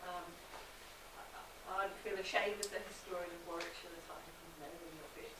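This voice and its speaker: A woman's voice: fairly low pitch, steady tone, moderate pace. A woman historian asking an informal, hesitant question from the audience at a conference. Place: conference room, indoors. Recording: very echoey, very noisy room, distant mic.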